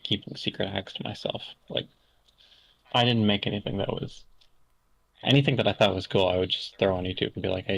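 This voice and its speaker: A man's voice: on the low side, gravelly, a bit bright, rough recording. A young man from Canada, a podcast guest talking in a casual, hesitant way full of fillers and restarts. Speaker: interview guest.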